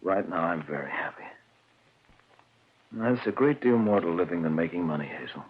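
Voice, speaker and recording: An actor playing an anxious, mild-mannered husband in an old radio drama, with a husky, stammering delivery. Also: male voice, low-pitched, lively intonation, normal speed. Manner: nervous, stammering. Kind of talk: 1940s radio acting. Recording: muffled old radio recording.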